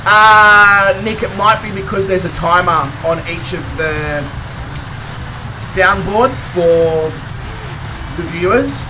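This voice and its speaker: An Australian man streaming a game, chatting casually with viewers in a croaky voice, rambling and chuckling between remarks. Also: high voice, takes it slowly, far from the mic, noisy background. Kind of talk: casual chat with live viewers.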